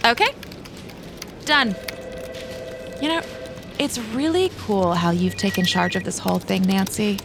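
A woman's voice: medium pitch, measured pace, creaky, pauses often, music in the background. A woman playing a flippant, chatty office employee in an audio drama, breezy and casual in manner. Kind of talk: naturalistic audio drama acting.

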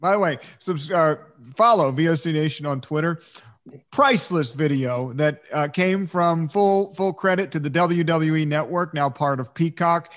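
A man's voice: on the high side, normal speed. A man co-hosting a casual talk show, making joking asides and plugs. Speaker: co-host. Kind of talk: casual podcast chat.

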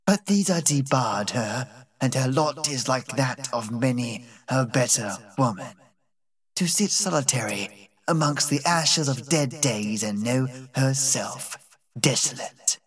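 A man reading an old deaf servant woman's lines in a low, harsh, slow, bitter voice for an audiobook. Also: animated, husky, recorded from a distance.

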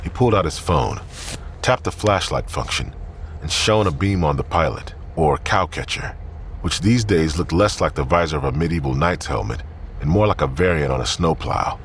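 A man narrating a crime drama in a grave, measured tone. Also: extremely low pitch, expressive, a little hiss or hum. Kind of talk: scripted narration.

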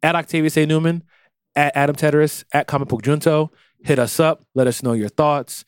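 Male podcast co-host, earnest and lively, dry close-mic sound. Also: fairly high pitch, a little fast, smooth, no room sound. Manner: earnest, animated, acts out quoted voices. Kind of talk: casual podcast conversation.

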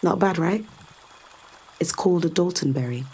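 A woman's voice: fairly low pitch, normal speed, darkish tone, husky. An actress playing a confident, sardonic woman in a science fiction audio drama, explaining things to a skeptical visitor with assured, dryly teasing delivery. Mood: confident, coaxing.

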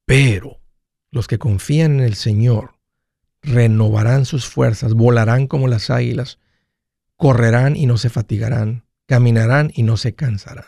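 Gravelly man, dry close sound. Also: medium pitch, fairly even intonation, unhurried, dark tone, no room sound. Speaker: host of a personal finance call-in show. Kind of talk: conversational call-in advice.

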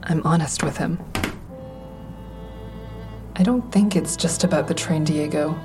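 Guarded, low-voiced woman over music. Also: measured pace. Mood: reflective, sad. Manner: reflective, understated, hesitant. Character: guarded, self-doubting, caring woman, comic and narrator. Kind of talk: first-person narration and dialogue in audio drama.

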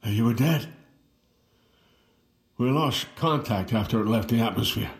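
An actor playing an aged, weary twin brother in an audio drama, speaking in a halting, wry, reflective way. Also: male voice, medium pitch, expressive, echoey room. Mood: measured, wry. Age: older. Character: aged, weary twin brother reunited with his lost sibling.

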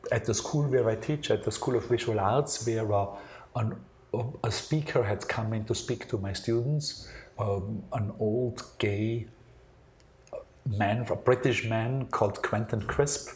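A man with an Austrian accent and German as his native language answers interview questions as a designer, in a thoughtful, halting, anecdotal way. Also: lowish voice.

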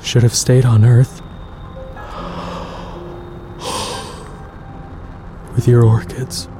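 An actor playing a weary, anguished and stubborn space traveler in an audio drama, muttering and exasperated. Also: male voice, mid-range voice, flat delivery, drawn-out words, over music.

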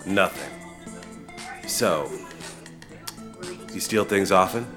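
A woman's voice: very deep voice, expressive, extremely slow, music in the background, exaggerated voice. A woman acting a drab, reluctant petty criminal in an audio comedy drama, curt and grumbling. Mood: glum, guarded.

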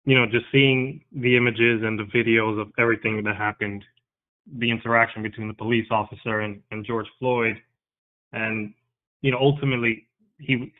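A man in his thirties from New York, a guest speaking earnestly and haltingly about something that moves him. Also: on the low side, little pitch movement. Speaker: interview guest. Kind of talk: candid interview talk. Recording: tinny phone-like line.

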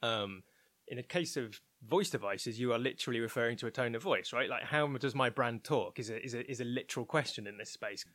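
A man's voice: mid-range voice, a little fast, a bit bright, no room sound. A man giving a conversational interview, thoughtful and hedging, often restarting his phrases. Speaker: interviewed research and development head.

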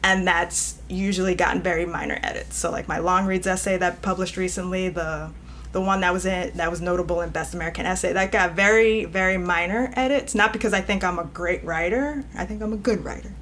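A woman guest, an editor, talking casually in an interview, animated and often laughing, with many "you know" fillers. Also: on the low side, fairly quick, a little hiss or hum. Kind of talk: conversational interview.

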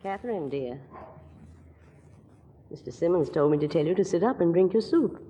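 Low-voiced doting aunt with menace. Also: female voice, lively intonation, moderate pace, warm tone, slightly rough. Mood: sweet, fussing. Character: outwardly doting aunt, a quietly menacing killer. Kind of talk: 1940s radio drama acting. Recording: dull old radio recording, long reverb.

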